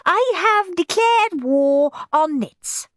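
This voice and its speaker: A woman's voice: high voice, animated, slow, dry recording, clean studio sound, voice pushed up. A woman voicing a stern, fierce schoolteacher who barks orders in a storybook reading. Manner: barking orders, growling.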